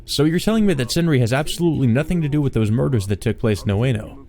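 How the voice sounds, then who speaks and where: A man's voice: mid-range voice, animated, fast, warm tone, pitched above the performer's own voice, lightly acted. A man voicing an insolent, carefree male visitor in a visual novel, over-familiar and needling in manner. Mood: slyly probing.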